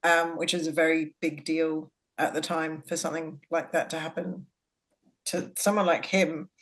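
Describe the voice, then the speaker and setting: A woman's voice: low-pitched, flat delivery, moderate pace, poor audio. A middle-aged woman guest on a podcast, chatting and laughing as she talks. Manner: chatty, laughs while talking. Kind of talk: casual chat.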